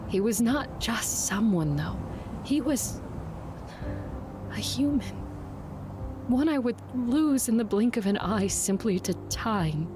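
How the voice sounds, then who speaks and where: A woman playing a stoic, commanding vampire warrior in an audio drama, narrating in measured, formal phrases with controlled grief. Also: a little high, lively intonation, noisy background. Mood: wistful, controlled. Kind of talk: first-person audio drama narration and dialogue.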